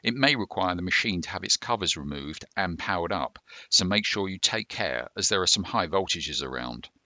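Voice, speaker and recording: Guy doing a scripted explainer, very bright crisp-edged voice, super dry close mic. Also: sits low, expressive, moderate pace, no echo. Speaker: presenter. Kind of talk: scripted instructional narration.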